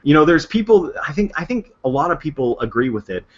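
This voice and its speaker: A man's voice: on the high side, quick pace. A man from the United States hosting a casual group chat over an online call, talking in eager bursts with frequent restarts. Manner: animated, talks in bursts with restarts. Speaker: stream host. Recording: dull lo-fi stream audio.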